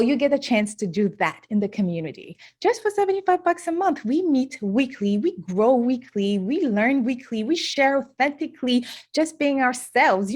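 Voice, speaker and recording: A woman with a fairly high voice pitches her coaching community in a chatty, upbeat way. She talks casually and directly to the listener. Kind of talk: promotional podcast spot.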